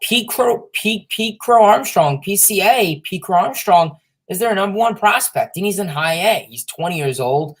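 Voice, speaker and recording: A man's voice: high voice, animated, moderate pace, a bit bright. A young man co-hosting a podcast, talking casually about baseball with many fillers and restarts. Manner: filler-heavy, meandering. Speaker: podcast co-host. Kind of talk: casual sports chat.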